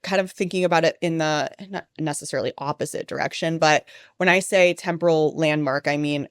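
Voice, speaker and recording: A woman's voice: deep voice, fairly even intonation, brisk, clear, resonant tone, no room sound, clean studio sound. A woman researcher talking as a podcast guest, explaining ideas in a chatty way with many fillers. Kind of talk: conversational podcast interview.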